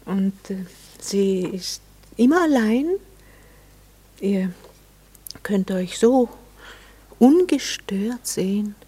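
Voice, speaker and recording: Husky wistful, well-bred society lady. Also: medium pitch, expressive, drawn-out words, long pauses, mellow, full character voice. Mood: soft, wistful. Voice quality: husky, breathy. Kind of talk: intimate radio play dialogue.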